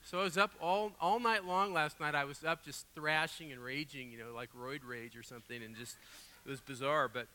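Guest preacher, man, raspy from a cold, bright forceful delivery, long church-hall reverb. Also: a little high, fairly quick. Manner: animated, conversational storytelling with asides. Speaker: guest pastor. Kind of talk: sermon. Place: church sanctuary.

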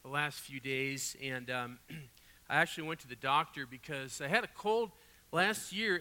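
Guest preacher, man, raspy from a cold, bright forceful delivery, long church-hall reverb. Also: on the high side. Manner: animated, conversational storytelling with asides. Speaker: guest pastor. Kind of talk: sermon. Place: church sanctuary.

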